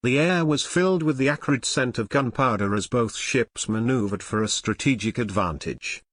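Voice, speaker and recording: A man's voice: medium pitch, moderate pace, a bit bright, no echo. A man narrating an audio drama in a scripted, dramatic storytelling style.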